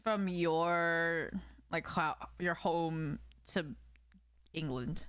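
A woman's voice: fairly low pitch, fairly even intonation, drawn-out words, fairly bright tone, clean tone, no room sound. A young adult woman casually chatting to viewers as she streams a game, offhand and sweary, often asking questions. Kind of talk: casual chat with viewers while gaming. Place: small room at home, indoors.

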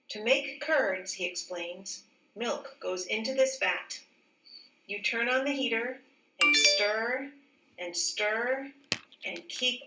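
Female storybook reader, teacher type: unhurried, careful phrasing, expressive dialogue, roomy distant recording. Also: medium pitch. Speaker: teacher reading a picture book aloud. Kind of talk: read-aloud storybook narration. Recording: roomy echo, distant mic.

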